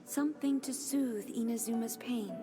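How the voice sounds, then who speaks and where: Woman voicing a fading goddess, high-pitched, tender, measured, solemn video game drama, music behind. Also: big-room sound, close to an everyday voice. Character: gentle, selfless divine woman, fading spirit saying farewell.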